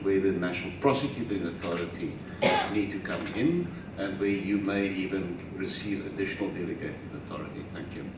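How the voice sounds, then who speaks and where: Deep-voiced man questioning from audience. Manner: halting start, then formal and precise. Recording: echoey hall, distant mic, noisy.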